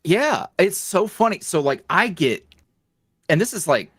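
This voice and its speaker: A man chatting casually as a podcast guest, his voice swinging up and down in an animated, lively way. He laughs often. The sound is dry and close, with no room echo. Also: sits high, measured pace, husky. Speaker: guest, ASMR roleplay creator.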